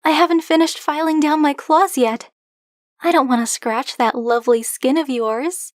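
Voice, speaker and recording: A woman's voice: high-pitched, normal speed, no echo, clean studio sound, close to an everyday voice. A woman playing a flirty, possessive dragon-blooded librarian in an ASMR roleplay, speaking to the listener in a teasing, coy, insistent manner. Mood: chatty, gentle. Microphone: close, dry microphone.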